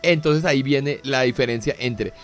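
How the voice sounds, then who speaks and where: A man's voice: mid-range voice, moderate pace, faint music behind. A man hosting a talk show, clarifying points at length with restarts and fillers. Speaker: host.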